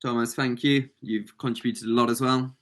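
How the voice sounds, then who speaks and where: A man's voice: medium pitch, steady tone, moderate pace, a bit bright. A man and English speaker teaching an online language lesson, explaining points and prompting students with encouragement. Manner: encouraging, praising students' answers. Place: online webinar call.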